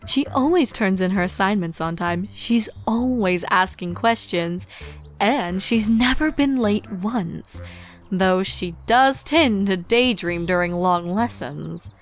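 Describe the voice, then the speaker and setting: A woman voicing a kindly, composed regal pony princess with a gentle, measured manner in a storybook reading. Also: medium pitch, lively intonation, faint music behind.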